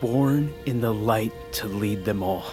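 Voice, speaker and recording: A man playing a wounded, brooding young prince in an audio drama, bitter yet yearning, with halting, intense, formal speech. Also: fairly low pitch, over music, natural voice. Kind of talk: dramatic audio fiction acting.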